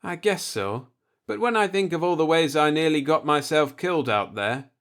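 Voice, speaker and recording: A man voicing a rash, confident, injured spaceman in an audiobook, excitable and declarative. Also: fairly high pitch, normal speed, smooth, voice pushed up. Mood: recovering.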